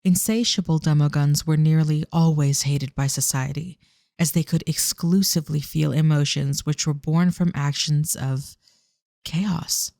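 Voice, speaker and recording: A man's voice: fairly high pitch, steady tone, a little slow, gravelly, warm tone, no room sound, studio quality. A man reading scripted narration for an audio drama as its storyteller.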